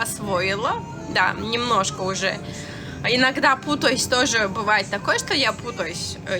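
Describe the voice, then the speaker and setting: Young woman, Turkmen accent, somewhat high voice, live-stream chat, frank and filler-heavy, music under her. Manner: answers viewers' comments, chatty and frank, many fillers and restarts.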